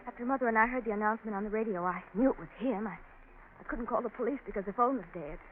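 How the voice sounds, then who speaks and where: An American actress playing a nervous, scheming young woman who protests her innocence, halting and melodramatic, in 1940s radio style. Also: on the high side, lightly acted. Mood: anxious, halting. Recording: noisy narrow-band 1940s radio.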